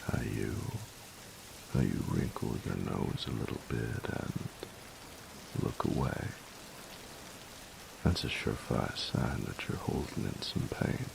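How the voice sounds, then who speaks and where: An actor playing a gruff young bully boyfriend, teasing but secretly tender, in an intimate audio roleplay. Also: male voice, extremely low pitch, slow pace, long pauses, exaggerated voice. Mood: gruff, flustered. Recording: hiss with rain sounds behind. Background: steady rain.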